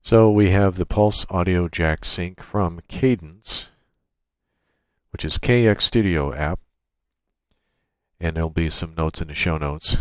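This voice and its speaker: Low-pitched man giving a software tutorial. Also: slow, long pauses, smooth, no room sound. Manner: matter-of-fact, step-by-step explaining. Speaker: tutorial presenter. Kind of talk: unscripted software tutorial narration. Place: indoors at a computer, screen-recording voice-over.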